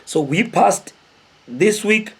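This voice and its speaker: A South African man in his thirties tells stories casually to the camera in an animated, conversational way, often putting questions to his viewers. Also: high-pitched, slow pace, slightly rough. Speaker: host telling stories of his military service. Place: small indoor room with cloth backdrop.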